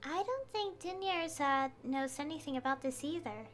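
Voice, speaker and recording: A woman's voice: sits high, lively intonation, normal speed, a bit bright. A woman game streamer reading dialogue aloud and commenting on a live stream. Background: faint game music.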